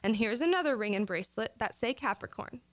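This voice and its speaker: Woman playing a high-voiced, scatterbrained radio wife. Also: lively intonation, fast talker, a bit bright, no echo. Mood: earnest, insistent. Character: scatterbrained, earnest, gullible wife with daffy logic. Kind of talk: old-time radio comedy acting.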